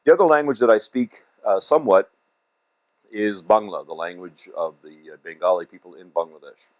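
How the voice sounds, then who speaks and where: A man's voice: on the low side, big pitch swings, moderate pace, darkish tone. A man whose first language is Dutch, giving hesitant remarks to camera at a language celebration, with many fillers and a dry joke. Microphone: video to camera.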